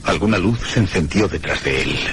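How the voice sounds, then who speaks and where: A man's voice: low voice, expressive, brisk, a bit bright. A man playing a frightened narrator of a horror radio play, telling his tale with solemn, suspenseful, emphatic phrasing. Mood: suspenseful, fearful. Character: frightened man recounting his descent into a haunted crypt. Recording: clear background noise, radio-play ambience.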